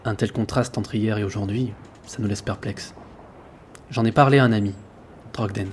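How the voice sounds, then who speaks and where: An actor playing an earnest sailor who narrates his ship's log in a measured, reflective way for an audio drama. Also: on the low side, steady tone, slight background noise, close to an everyday voice. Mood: grave, reflective. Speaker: narrator and lead character. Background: background music.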